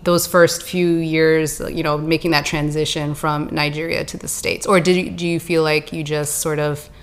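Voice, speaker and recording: A woman with a slightly low voice hosts a podcast. She talks in a loose, conversational way, asking curious questions and using plenty of likes and ums. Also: flat delivery, normal speed, smooth. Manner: conversational, curious, with many fillers. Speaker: podcast host. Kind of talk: casual chat and interviewing.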